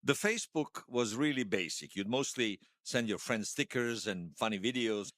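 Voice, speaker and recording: A man acting the part of a wistful, wry old hero in his seventies, reminiscing haltingly in a radio drama interview. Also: fairly low pitch, a bit bright, no room sound, clean studio sound, natural voice. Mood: nostalgic, wry. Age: 70s. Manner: halting, reflective, self-deprecating. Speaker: interview guest.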